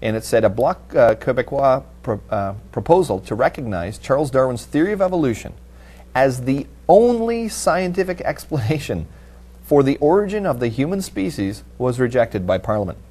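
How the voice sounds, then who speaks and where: Male TV host with halting delivery. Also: mid-range voice, big pitch swings, warm tone. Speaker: TV panel host. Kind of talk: panel discussion, reading news articles. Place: TV studio at a panel table. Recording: audible background noise with hum.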